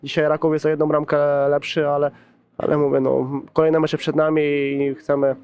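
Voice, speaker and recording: A man's voice: a little high, level tone, moderate pace, a little mellow, clear, resonant tone. Man, footballer, post-match interview, hesitant, restarts often, level tone, handheld mic. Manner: hesitant, many fillers and restarts. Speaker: football player. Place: pitchside interview backdrop at a stadium, outdoors. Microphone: handheld reporter's mic.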